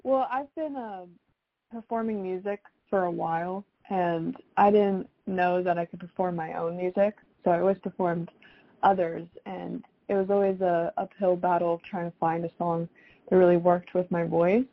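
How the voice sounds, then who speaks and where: A young woman answers interview questions in a casual, chatty way. Her voice sits on the low side and often slips into vocal fry. The sound is dry and slightly dull. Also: steady tone, moderate pace, no room sound. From South Florida, United States. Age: young adult. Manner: chatty, frequent "like" and youthful slang. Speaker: singer-songwriter guest.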